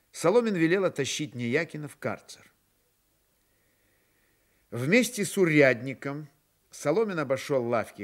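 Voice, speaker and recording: A man narrating a novel for radio in a measured, expressive storytelling manner. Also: on the high side. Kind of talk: dramatised literary narration for radio.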